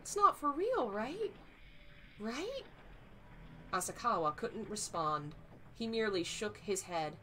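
Slightly hoarse woman reading aloud. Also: sing-song, takes it slowly, long pauses, a bit bright, a little hiss or hum. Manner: acts out dialogue with raised pitch for frightened lines. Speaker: streamer reading a novel aloud. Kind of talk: live book reading, then casual sign-off. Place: small home room, indoors.

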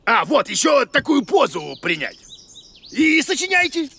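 A man voicing a blustering, vain, grumpy monster teacher in a children's cartoon, exclamatory and pompous with a gravelly edge. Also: very high voice, huge pitch swings, unhurried, sharp tone, slight background noise, heavily acted. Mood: pompous, grumbling. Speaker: comic teacher.

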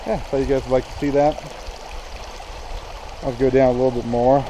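A relaxed, chatty man in his thirties walks a mountain creek bed and talks straight to his viewers, filming himself on a handheld action camera. His voice sits at an ordinary middle pitch. Rushing spring water and gusts of wind come through clearly behind him. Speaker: outdoor vlogger. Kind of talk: casual walking commentary to camera. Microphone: action camera held at arm's length. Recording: outdoor camera audio with clear stream and wind noise. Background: running water and wind.

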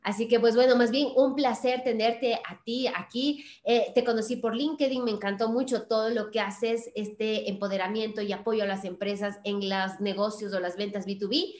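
A woman's voice: on the high side, fairly even intonation, normal speed, hardly any pauses, darkish tone. A woman in her thirties hosting a podcast, chatty and effusive as she addresses her listeners in an upbeat presenting style. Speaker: podcast host. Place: small indoor room, on a video call. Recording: roomy, echoing room sound.

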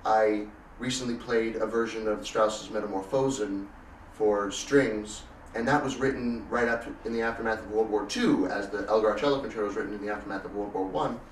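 Young man, lowish voice, roomy interview sound. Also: fairly even intonation, brisk. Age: 20s. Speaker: cello soloist, interviewee. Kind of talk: thoughtful interview conversation. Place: furnished indoor room, seated on sofa. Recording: roomy echo, distant mic, faint noise.

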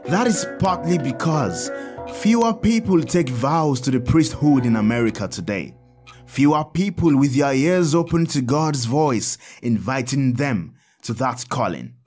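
Actor as a lively African priest, music behind. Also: male voice, mid-range voice, measured pace, close to an everyday voice. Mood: earnest teaching. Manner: earnest, didactic, emphatic. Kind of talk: audio drama for children.

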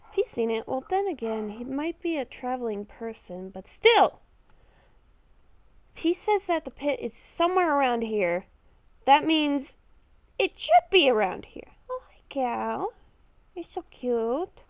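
A woman's voice: high voice, very animated, a little slow, dry recording. A woman improvising in-character game roleplay, talking to herself in murmurs and exclamations. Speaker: gaming video creator playing a roleplay character.

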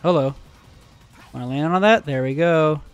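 A man streaming live and joking through a game, his voice cracking and going hoarse, on a headset mic. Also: on the high side, muffled recording. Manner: joking, sarcastic, quick asides, giggly. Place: home streaming room, indoors. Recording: game music in background.

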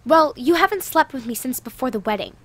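A woman's voice: high voice, animated, a little fast. A woman acting a neglected, confrontational middle-aged wife and mother in an audio drama. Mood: hurt, confronting.

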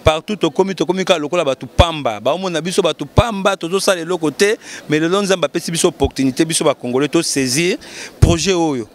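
A man's voice: fairly high pitch, fast. Earnest man rallying support outdoors. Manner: earnest, rallying, repeats key phrases. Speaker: local political organizer. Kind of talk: street interview. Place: outdoors at night in a lit pedestrian square. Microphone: handheld mic held by interviewer.

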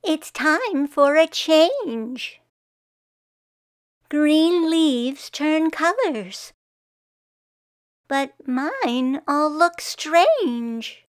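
Woman reading as a very slow, high-voiced, earnest tree. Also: dry recording, studio quality, exaggerated voice. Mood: frustrated. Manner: slow, deliberate, expressive rhymed storybook reading. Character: earnest female tree, exasperated by her misbehaving leaves. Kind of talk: children's picture book read-aloud.

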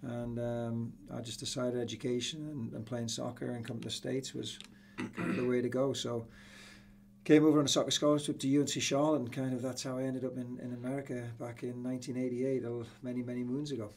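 Gravelly middle-aged English man. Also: mid-range voice, little pitch movement, brisk, darkish tone, a little hiss or hum. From Newcastle, England. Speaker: soccer coach, interview guest. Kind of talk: casual reminiscing in a podcast interview. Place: small indoor room with acoustic panels. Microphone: podcast boom microphone.

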